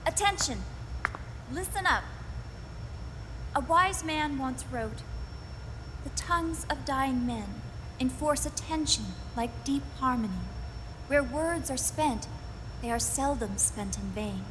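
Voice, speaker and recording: An actress playing a composed, authoritative military commander, speaking formally and firmly in a video game drama. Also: female voice, sits high, animated, slow, pauses often, noisy background. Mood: commanding.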